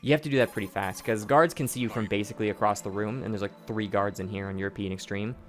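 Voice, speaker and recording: A hoarse man with a fairly low voice talks through his stealth-game speedrun in a loose, explanatory way. He stumbles and restarts his phrases as he goes, and faint game music plays under him. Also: fairly even intonation, quick pace. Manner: explanatory, rambling, with frequent restarts and profanity. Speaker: gameplay commentator. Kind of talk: casual speedrun commentary.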